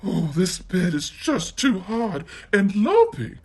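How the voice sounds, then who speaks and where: Fussy, grumbling little girl from a storybook, voiced by a narrator; high and lilting, slow. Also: wildly swooping pitch, husky, full character voice. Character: golden-haired young girl, cross and fussy.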